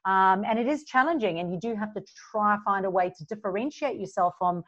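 A bubbly woman from South Africa, her accent blended with Australian, chats as an interview guest and laughs between phrases. Her voice sits at a middle pitch. The recording is a little dull and lo-fi. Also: lively intonation, a little fast, a bit bright. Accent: South African, mixed with Australian. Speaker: guest, business coach. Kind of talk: casual interview chat. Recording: slightly dull lo-fi sound.

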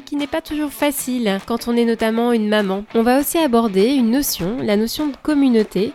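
A woman's voice: fairly high pitch, mellow. A woman from France with a French accent, hosting a podcast with an introduction and friendly interview style.

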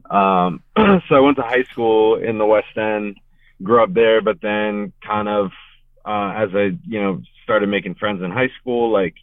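A man's voice: low voice, no echo. A young man from Richmond, Virginia, guesting on a podcast and talking about his bands in a rambling way, full of filler words. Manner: laid-back, rambling, heavy on filler words. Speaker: guest musician. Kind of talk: casual podcast interview. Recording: tinny, phone-like remote call.

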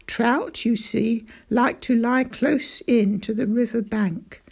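A woman's voice: a little high, takes it slowly, gravelly, slightly rough, heavily acted. Woman doing a fussy little old country doctor. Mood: genial. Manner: excitable, grave then outraged. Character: small elderly country doctor, fussy and indignant.

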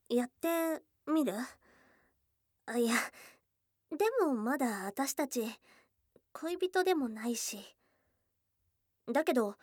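A shy young goblin girl, played by a woman, speaks in a high voice at a slow, halting pace. She is tomboyish but smitten and easily flustered, murmuring softly in an ASMR drama. The sound is very dry and close to the microphone. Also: dry recording, exaggerated voice. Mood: hesitant. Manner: hesitant, bashful, halting, with soft murmurs. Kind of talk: intimate ASMR situation voice drama. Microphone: close studio microphone, dry.

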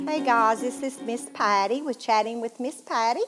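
A woman with a Southern Tennessee accent speaks with earnest, heartfelt feeling as she shares her life story. Her voice sits fairly high, and it drops to a soft hush when she reaches the painful parts. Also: moderate pace, faint music behind. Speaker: show host. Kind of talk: personal testimony talk.

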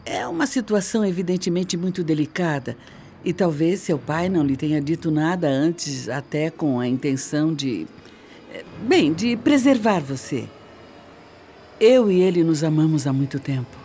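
A woman's voice: sits low, expressive, moderate pace, dark tone, slight background noise. Composed middle-aged Brazilian mother, confessing measuredly. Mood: confessional, hesitant. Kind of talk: radio soap opera acting.

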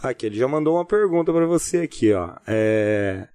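A Brazilian man hosts a podcast in a casual, conversational way. His medium-pitched voice is recorded dry, with hardly any room around it. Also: animated, fairly quick, mellow, dry recording. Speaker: podcast host.

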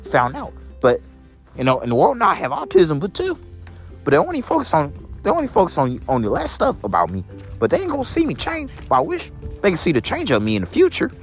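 A young man with a deep voice talks straight to his viewers, swinging his pitch wildly from low murmurs up to sudden high notes. He rambles and repeats himself, restating each line several times over. Faint music plays behind him. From Illinois, USA. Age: 20s. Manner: repetitive, restates lines in several persons. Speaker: vlogger. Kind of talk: rambling casual monologue to viewers. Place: outdoors in the cold.

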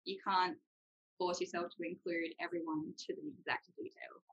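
A woman's voice: lowish voice, little pitch movement, moderate pace, highs cut off. A young adult woman, explaining things informally and reassuringly as a guest in a casual chat. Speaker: guest, university student.

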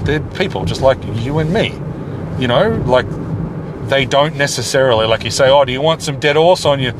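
An Australian man, middle-aged, talking casually and digressively while driving, often correcting himself and swearing mildly. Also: mid-range voice. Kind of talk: casual rambling monologue. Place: inside a car while driving. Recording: loud car and traffic noise. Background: road and engine noise.

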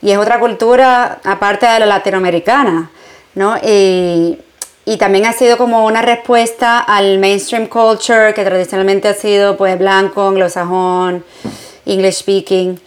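A woman's voice: medium pitch, steady tone, measured pace. A woman from Puerto Rico with a Puerto Rican accent, a journalist answering interview questions in a reflective, conversational way, mixing in English phrases. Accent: Puerto Rican Spanish. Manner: reflective, mixes in English phrases, frequent fillers. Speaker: journalist and entrepreneur, guest.

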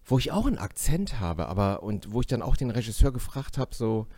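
A man who works as an actor, chatting casually on a podcast in an animated, talkative way full of fillers and self-mockery. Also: medium pitch, brisk, no room sound. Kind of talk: casual chat.

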